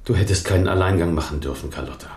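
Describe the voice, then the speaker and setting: Actor as grumbling wounded policeman, very low male voice, reproachful, fond, radio drama, echoey room. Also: racing, a little mellow, lightly acted. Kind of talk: crime radio play acting. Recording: roomy echo, faint noise.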